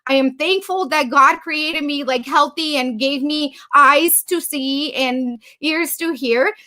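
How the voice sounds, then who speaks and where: A woman's voice: sits high, takes it slowly, sharp tone. A woman hosting a podcast interview, talking in an emphatic, motivational way and repeating points for effect. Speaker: guest host.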